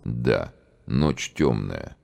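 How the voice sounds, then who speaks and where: An actor playing a big, stolid seminary theologian, terse and ponderous, in an audio drama. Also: male voice, very deep voice, lively intonation, extremely slow, creaky, a little mellow, exaggerated voice. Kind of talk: audio drama of a classic story.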